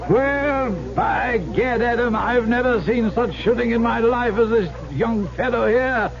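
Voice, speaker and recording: An actor playing a hearty, pompous Duke with jovial, blustering exclamations in an old-time radio drama. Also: male voice, very high-pitched, a little slow, creaky, crowd noise, full character voice. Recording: dull old radio recording.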